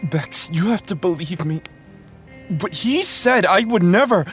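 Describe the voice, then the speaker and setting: Halting, distraught young hunter. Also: male voice, sits high, expressive, a bit bright, faint music behind. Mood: desperate. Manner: emotional, halting, pleading. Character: distraught young male hunter, guilt-stricken and devoted brother. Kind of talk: audio drama acting.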